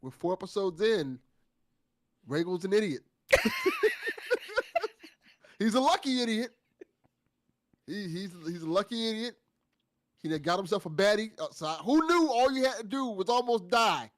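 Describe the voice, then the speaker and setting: A woman's voice: medium pitch, animated, moderate pace, pauses often, no echo, clean studio sound. A woman co-hosting a podcast after-show with slangy, teasing, self-deprecating banter. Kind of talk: casual joking banter.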